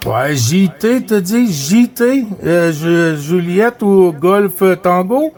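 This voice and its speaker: A man's voice: high voice, animated, slow pace, darkish tone. A man with a Quebec French accent chatting casually as a CB radio operator, friendly and repeating phrases and radio codes. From Quebec, Canada.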